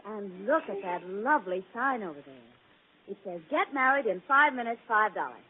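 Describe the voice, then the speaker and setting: An actress playing a playful, teasing young wife in a 1940s radio drama. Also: female voice, mid-range voice, animated, moderate pace, a crowd in the background, close to an everyday voice. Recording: tinny old radio broadcast.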